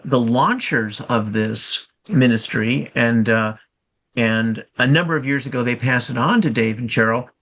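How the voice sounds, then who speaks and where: A man's voice: medium pitch, fairly bright tone. A man joining a video call, making loose, rambling remarks with ums and repeated words. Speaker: call participant.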